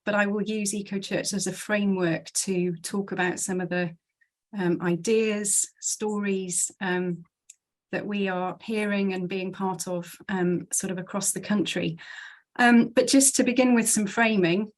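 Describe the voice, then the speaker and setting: A woman's voice: medium pitch, steady tone, unhurried. A woman from South Wales, a guest speaker giving an earnest, conversational talk to a church group and thinking aloud as she goes. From Swansea, South Wales. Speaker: guest speaker from a conservation charity.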